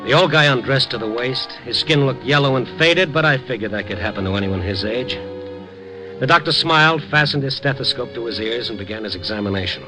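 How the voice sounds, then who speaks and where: A man's voice: medium pitch, expressive, a little fast, music in the background, close to an everyday voice, rough recording. An actor playing a wry, skeptical younger newspaperman in a 1950s radio drama, informal and slangy. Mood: grave, narrating. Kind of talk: 1950s radio drama acting with first-person narration.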